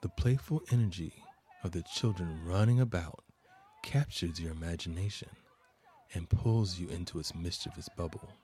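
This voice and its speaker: A man narrating a guided meditation as a podcast host, with measured, soothing, deliberate phrasing. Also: low voice, warm tone.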